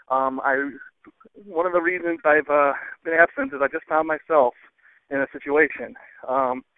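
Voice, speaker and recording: A man calling in to a show over the telephone, rambling casually and hesitantly with many ums and pauses. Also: a little high, dry recording. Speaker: listener calling in. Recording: narrow, dry phone-line sound.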